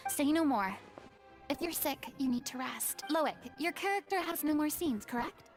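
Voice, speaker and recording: An actress playing a proud retired young stage star in a game, speaking in an eloquent, theatrical and formal way. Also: female voice, high-pitched, lively intonation, normal speed, soft background music. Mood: resolved.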